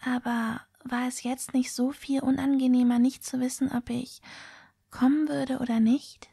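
A woman playing a dreamy, candid teenage schoolgirl in an audio drama, speaking gently with a soft, whispery voice. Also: high voice, level tone, unhurried, creaky, husky, dry recording, clean studio sound, exaggerated voice. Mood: gently questioning. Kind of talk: amateur audio drama acting.

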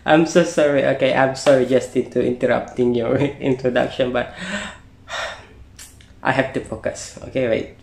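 Reaction host: young Malaysian male, excitable and repetitive, medium pitch, roomy sound. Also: normal speed, creaky. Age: young adult. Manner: gushing, repeats phrases. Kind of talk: casual excited reaction chat. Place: small bedroom, indoors.

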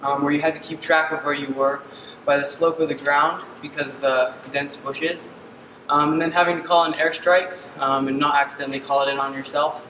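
A young man from Uganda giving a senior capstone presentation on a dark stage, earnest and often pausing with ums. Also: on the high side, little pitch movement. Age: young adult. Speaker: student presenter. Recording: distant echoey hall with ambient noise.

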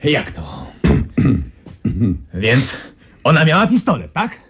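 An actor playing a scheming company president who speaks in an unhurried, oily manner. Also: male voice, medium pitch, huge pitch swings, heavily acted. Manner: unhurried, oily, fawning to superiors. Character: scheming male company boss, smooth and ruthless. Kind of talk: 1990s radio drama acting.

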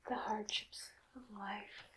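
A woman speaking in a soft, breathy whisper, slow and soothing, as a calm doctor in an ASMR roleplay. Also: medium pitch, low-quality recording. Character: calm hypnotist doctor addressing a robot patient.

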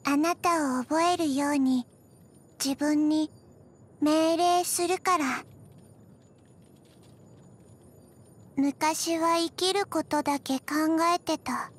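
A tiny zombie girl from a video game. Her voice is high and childlike, and she speaks very slowly in soft, halting pieces. She sounds emotionless yet gentle, as if memory comes to her a bit at a time. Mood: quietly tender. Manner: halting, deadpan, soft-spoken with frequent pauses. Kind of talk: scripted video game character voice lines.

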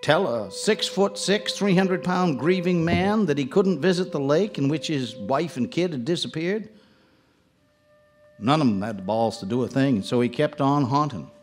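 A wry small-town fisherman tells a ghost story in a radio play, performed by a male actor. The delivery is folksy, with lively, wide-ranging intonation over faint music. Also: on the high side, normal speed, close to an everyday voice. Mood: wry, storytelling. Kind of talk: live radio drama narration.

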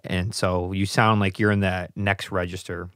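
A man's voice: low voice, level tone, normal speed, fairly bright tone, no room sound, clean studio sound. A man in his thirties, hosting a podcast and chatting casually with a guest. He talks in a teasing way and laughs easily. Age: 30s. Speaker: podcast host. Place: small studio with colored lights and a table. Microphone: studio mic on a desk stand, headphones.

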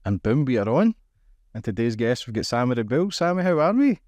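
A man's voice: mid-range voice, big pitch swings, brisk, darkish tone, slight background noise. A man hosting a podcast interview, upbeat and asking direct questions. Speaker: podcast host.

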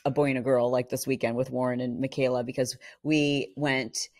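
A middle-aged woman co-hosting a casual podcast, her voice husky from a vocal cord injury, telling stories and acting out quotes. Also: a bass voice, a little fast. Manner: animated storyteller, acts out quotes. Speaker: podcast co-host. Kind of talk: casual chat. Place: home studio. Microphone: studio mic on boom arm.

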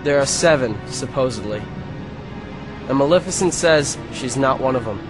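A man's voice: mid-range voice, expressive, moderate pace, natural voice, low-quality recording. Casting: teenage male rival man acting, cold and self-assured, mid-range voice, music underneath. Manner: cool, taunting, self-assured. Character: cold, arrogant teenage boy rival turned dark.

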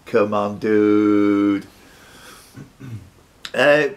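A man's voice: fairly low pitch, extremely slow. A man hosting a live quiz, reading out questions with teasing, sweary banter. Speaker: quiz host.

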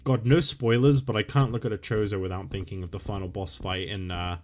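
A man rambling casually and swearing while playing a game, his voice going hoarse. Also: on the low side, steady tone, fairly quick, darkish tone, faint music behind. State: losing his voice. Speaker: gaming YouTuber. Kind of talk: casual let's-play commentary.

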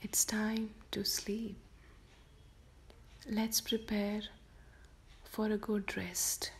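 A woman softly whispering a guided sleep meditation for children, slow and gentle. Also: mid-range voice, flat delivery, slight background noise. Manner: soft whispered delivery.

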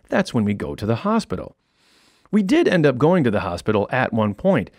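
An American man in his fifties narrates his own humorous book into a studio condenser mic. His pitch swings widely for comic emphasis, with a wry, storytelling manner. The sound is dry and close, with no room echo. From United States. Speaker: author reading his own audiobook. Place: small home studio. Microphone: studio condenser mic with headphones.

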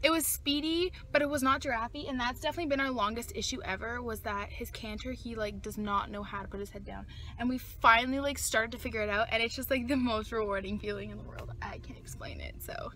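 A woman's voice: fairly high pitch, quick pace, sharp tone. Chatty young woman vlogging on her phone. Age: young adult. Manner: chatty, gushing, lots of "like". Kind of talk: casual vlog talk to camera. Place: barn, a parked car and outdoors at a farm. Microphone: phone held at arm's length.

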